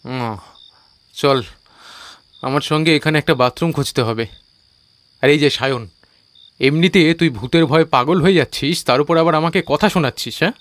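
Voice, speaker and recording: A man's voice: a little high, normal speed, long pauses, a bit bright, close to an everyday voice. An actor playing a cocky, scoffing young man who leads his friends in an audio ghost story. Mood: bossy, irritated. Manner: mocking, colloquial. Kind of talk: audio drama dialogue in a Bengali ghost story.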